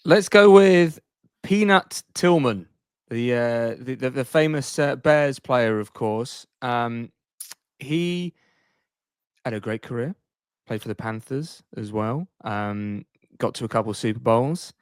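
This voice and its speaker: Male podcast co-host, medium pitch, dry studio-like sound, casual conversational sports talk. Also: lively intonation, slow, clean tone, no echo.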